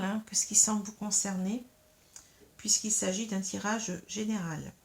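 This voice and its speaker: Woman with a cold, tarot reader, casual and halting. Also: mid-range voice. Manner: hesitant, many fillers and tag questions. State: has a cold. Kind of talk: casual tarot reading to camera.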